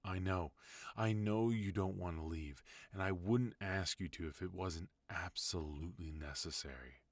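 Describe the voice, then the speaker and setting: Casting: a man as a stern but tender mafia boss husband, very deep voice, dry close mic. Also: normal speed, dry recording. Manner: low, firm, soft-spoken pleading. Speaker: voice actor. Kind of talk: ASMR roleplay.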